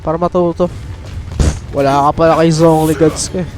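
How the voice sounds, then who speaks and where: A man's voice: fairly high pitch, steady tone, brisk, music in the background. A man with a Filipino accent giving casual, joking, slangy reactions as he streams a video game. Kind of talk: casual gameplay commentary.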